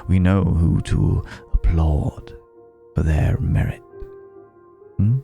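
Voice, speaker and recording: A man playing a suave, ancient vampire club owner in an intimate ASMR roleplay, speaking in a genteel, quietly menacing way and savouring his pauses. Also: low-pitched, steady tone, vocal fry, faint music behind, exaggerated voice. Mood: smug, confiding. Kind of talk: ASMR roleplay, intimate second-person acting.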